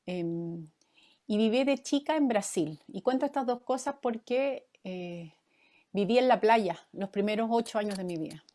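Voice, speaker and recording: A woman's voice: mid-range voice, a little mellow. Reflective Chilean woman in her fifties. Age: 50s. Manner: thoughtful, with many pauses and fillers. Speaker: guest, former mayoral candidate and coach. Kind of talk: reflective interview answers.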